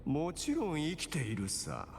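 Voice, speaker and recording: Smug villain cleric, mocking, composed, widely swinging intonation, video game dialogue, long reverb, music behind. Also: male voice, on the high side, wildly swooping pitch, a little fast, gravelly, full character voice. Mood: calm menace. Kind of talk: Japanese game voice acting.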